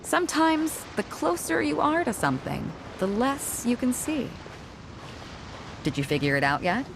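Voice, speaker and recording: A woman's voice: sits high, sing-song, a little slow, vocal fry, noisy background, full character voice. An actress playing a confident, worldly pirate captain in a video game, assured and teasing as she explains things. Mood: confiding, amused. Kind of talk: voiced video game dialogue. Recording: music behind the dialogue.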